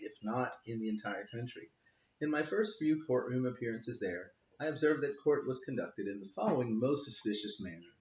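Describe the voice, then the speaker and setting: A man's voice: medium pitch, a little mellow. A man, a lawyer, reading his own book aloud in a wry, offhand way, stressing the punchlines. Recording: roomy, dull lo-fi home recording.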